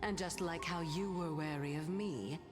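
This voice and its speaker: A woman voicing a calm, gracious ancient goddess in human disguise, speaking softly in a breathy half-whisper. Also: sits low, moderate pace, big-room sound, lightly acted. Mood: calm, knowing. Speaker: game character. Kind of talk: video game voice acting. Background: game music.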